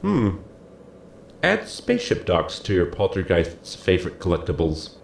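An actor playing a polite, literal-minded ship's assistant with a gravelly, husky voice, explaining formally in a sci-fi audio comedy. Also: male voice, low-pitched, wildly swooping pitch, unhurried, a little hiss or hum, exaggerated voice. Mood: puzzled, dry. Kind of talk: sitcom audio drama acting.

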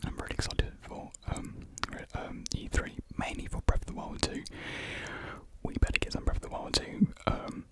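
A British man in his twenties whispering a casual ASMR ramble softly, close to a desktop microphone in a small room. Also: normal speed. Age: 20s. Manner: soft unvoiced whispering. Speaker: ASMR video creator. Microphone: close desktop USB microphone.

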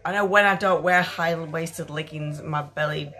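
A woman's voice: deep voice, steady tone, normal speed, background noise. Chatty, sweary British woman. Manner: informal, sweary, rambling. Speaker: fitness clothing reviewer. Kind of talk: casual chatty try-on review. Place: small bedroom at home.

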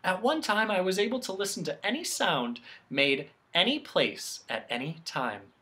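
Storybook keeper of sounds, acted by a narrator: a soft, talkative, sighing lady with lilting, animated pitch. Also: moderate pace. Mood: wistful, airy. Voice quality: soft, gentle. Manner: talkative, sighing, savoring each phrase. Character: gentle, wistful female keeper of sounds, covered in bells.

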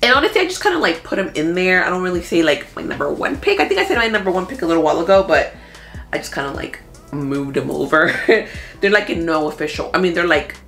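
A woman in her thirties talking casually as a movie vlogger, thinking out loud in an informal, conversational way. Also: fairly low pitch, very animated, quick pace, creaky. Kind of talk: casual chatty commentary. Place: small room at home. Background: background music.

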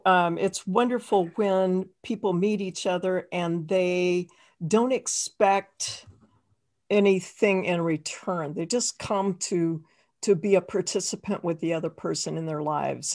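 A woman's voice: lowish voice, steady tone, measured pace, a little mellow. Woman, host, video call interview, encouraging, frequent affirmations. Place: home office.

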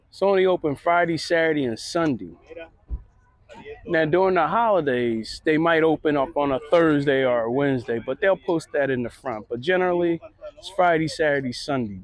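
A middle-aged man gives relaxed, chatty walking commentary at an outdoor flea market, recorded on a handheld phone. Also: on the high side, moderate pace. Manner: relaxed, chatty, pointing things out. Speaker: vlogger. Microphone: handheld phone camera.

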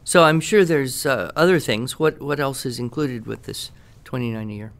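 A middle-aged man with an American accent talks as a podcast expert. His voice sits at an ordinary male pitch. He speaks conversationally, with enthusiasm and emphasis, like a teacher promoting a useful tool. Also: moderate pace, vocal fry. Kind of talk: conversational product talk on a podcast.

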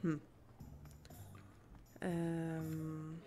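A woman's voice: sits low, monotone, very slow. A woman giving casual let's play commentary, rambling and thinking aloud as she plays. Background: faint game music.

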